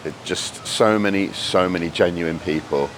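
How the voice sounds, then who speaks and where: Deep, croaky-voiced man vlogging. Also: moderate pace, poor audio. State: hoarse from days of talking. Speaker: vlogger and convention guest speaker. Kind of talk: casual vlog narration to camera. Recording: clear background noise.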